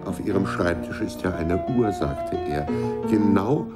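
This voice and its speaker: An actor playing a talkative, jovial gentleman of rank in a German radio drama. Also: low-pitched, unhurried, loud music behind the voice. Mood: amused storytelling.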